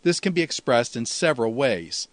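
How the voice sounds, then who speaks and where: A man's voice: medium pitch, expressive, normal speed, no echo. A man giving an instructional grammar lecture as a teacher, explaining step by step in a didactic, lightly folksy way. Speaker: teacher of New Testament Greek.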